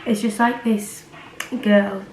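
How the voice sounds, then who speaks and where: A teenage girl chats to camera in a loose, rambling way, leaning on filler words. Her voice is a little croaky from a cold and sits at a middling pitch. The room around her is echoey, with a faint hiss of ambient noise behind. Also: level tone, takes it slowly, darkish tone. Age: teens. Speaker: vlogger. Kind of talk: casual chat to camera.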